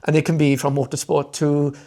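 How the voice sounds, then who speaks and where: Welsh guy rambling excitedly on a podcast, kinda high voice, gravelly fry, lots of ums. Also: fairly quick. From Wales. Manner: rambling and enthusiastic, many fillers and restarts. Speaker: podcast guest, motoring content creator. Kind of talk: casual interview chat.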